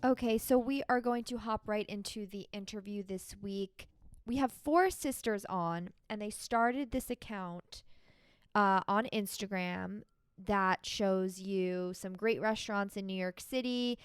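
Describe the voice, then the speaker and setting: A woman's voice: medium pitch, a little slow, clean tone, no room sound. A young woman hosting a podcast, giving chatty, upbeat introductions and interview questions. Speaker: podcast host. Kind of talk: casual interview chat.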